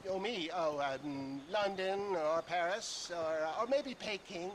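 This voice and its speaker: A man's voice: high-pitched, takes it slowly, a bit bright. An actor playing a grandiloquent, genial old snake oil salesman in a video game, speaking in florid phrases. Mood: pompous, jovial. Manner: pompous, florid. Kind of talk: video game cutscene acting. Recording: clear outdoor noise behind voice.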